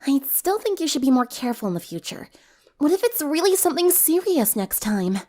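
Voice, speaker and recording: A woman's voice: sits high, sing-song, fairly quick, a little mellow, pitched above the performer's own voice. A woman voicing a caring, cautious, bookish teenage girl in a story reading. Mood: concerned.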